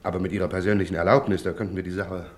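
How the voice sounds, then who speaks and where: A man playing a brisk, courteous police inspector with an official manner in a German radio play. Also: low-pitched, level tone, a little mellow, natural voice. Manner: official, polite, giving clipped orders.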